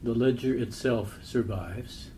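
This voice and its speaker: Male poetry reader, mellow tone, measured and formal, faint background noise. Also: medium pitch. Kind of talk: literary poem reading.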